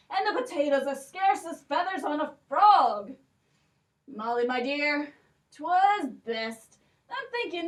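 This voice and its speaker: A doting, worried old father with a folksy lilt, as a woman puts him on for a puppet show. His voice rises and falls in wide swings and he speaks slowly. He sounds a little off the mic. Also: sits high, reverberant, distant mic, heavily acted. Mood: fond.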